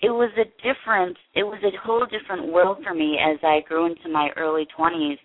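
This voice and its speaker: Low-voiced woman on a phone line. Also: fairly even intonation. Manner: earnest, halting, many restarts. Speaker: interview guest, production company head. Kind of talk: candid personal interview.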